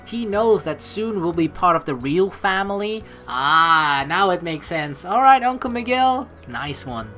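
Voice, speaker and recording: A woman hosting a let's play, reading game narration and dialogue aloud with playful, expressive commentary. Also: on the low side, a little slow, few pauses. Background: game music.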